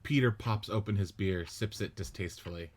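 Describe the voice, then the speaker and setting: Low-voiced man reading stage directions. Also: fairly quick. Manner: even, matter-of-fact reading. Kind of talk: script reading narration.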